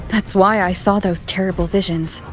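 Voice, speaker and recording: A woman's voice: medium pitch, steady tone, moderate pace, faint music behind, natural voice. A woman playing an earnest, troubled traveller in a fantasy game, speaking seriously and a little hesitantly. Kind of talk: dramatic fantasy game acting.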